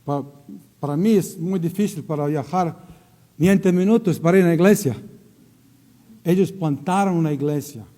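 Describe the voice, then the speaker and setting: A middle-aged Croatian man, a missionary, speaking in halting non-native Spanish, searching for words and slipping into English at times. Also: a little high, mellow, big-room sound. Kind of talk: church greeting and testimony. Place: church sanctuary.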